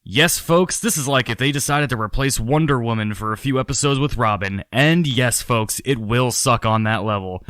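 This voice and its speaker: A male actor as a self-mocking stand-in radio host in a comic drama. His voice sits at a medium pitch in a dry studio sound, chatty and full of showmanship. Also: moderate pace, few pauses, a bit bright, clean tone, no room sound, natural voice. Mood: nervous cheer. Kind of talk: parody radio call-in presenting.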